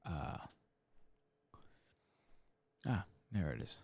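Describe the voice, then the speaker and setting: A man hosting an ASMR game playthrough, speaking softly and casually in a breathy murmur that often drops toward a whisper. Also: sits low, big pitch swings, normal speed. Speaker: ASMR gaming channel host. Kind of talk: soft-spoken ASMR game playthrough narration.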